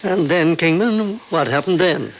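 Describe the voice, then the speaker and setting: An actor playing a sympathetic, paternal doctor with a hesitant manner, in old-time radio drama style. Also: male voice, on the high side, expressive, a little slow, slight background noise. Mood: concerned, hesitant. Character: sympathetic, paternal doctor hearing a troubled patient. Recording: dull vintage radio recording.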